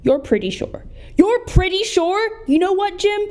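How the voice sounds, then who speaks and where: A woman's voice: very high-pitched, expressive, unhurried, darkish tone. A young woman playing an aggressive, bullying salesman in a comic radio play, repeating taunts. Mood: incredulous. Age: young adult. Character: furious bullying salesman Ricky, cursing in childish euphemisms.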